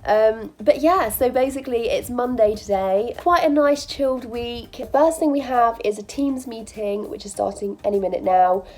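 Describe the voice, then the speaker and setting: A woman in her twenties, a medical student, chatting casually to camera in an upbeat, quick way, with a stuffy nose from a cold. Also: fairly high pitch, darkish tone. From England. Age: 20s. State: bunged up with a cold. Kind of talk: casual vlog talk.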